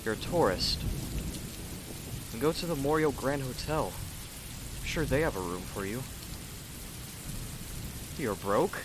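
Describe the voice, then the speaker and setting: A man's voice: mid-range voice, background noise, natural voice. A man playing a haughty, impatient, self-important artist in an ASMR roleplay, speaking in a dry, condescending, theatrical way. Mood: irritated, curt. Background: rain and thunder.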